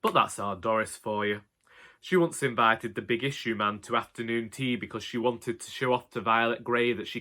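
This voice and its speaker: Older Northern English husband, played by a man, wry and exasperated comic storyteller, colloquial delivery, medium pitch, bright edge. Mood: wry grumbling. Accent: Northern English, Cheshire. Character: grumbling henpecked old Northern husband, dryly comic storyteller. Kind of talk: comic dramatic monologue narration.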